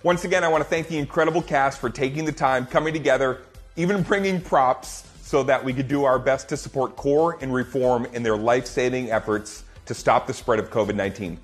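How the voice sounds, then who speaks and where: A man's voice: mid-range voice, fairly quick, faint music behind. A man hosting an online charity event in an upbeat presenting style, thanking and addressing an audience. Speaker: host.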